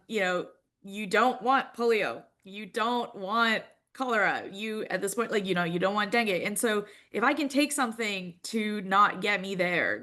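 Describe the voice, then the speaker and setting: A woman's voice: medium pitch, a bit bright. A woman from India speaking as a panelist in a webinar, conversational, with frequent "like". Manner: conversational, uses "like" often.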